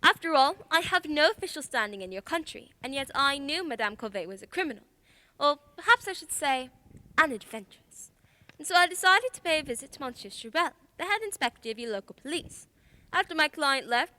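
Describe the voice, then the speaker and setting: A woman's voice: high voice, big pitch swings, brisk, sharp tone, dry recording, heavily acted. A woman playing a confident, shrewd detective in a radio play, speaking in a formal, precise, theatrical way. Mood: composed, formal. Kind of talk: stage-style radio play acting.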